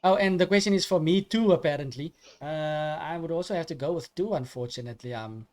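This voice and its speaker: A man from Africa, co-commentating a live online chess match, hesitant with many pauses, reading questions from the chat. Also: on the high side, a little mellow. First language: Afrikaans. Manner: hesitant, many ums, reads chat aloud.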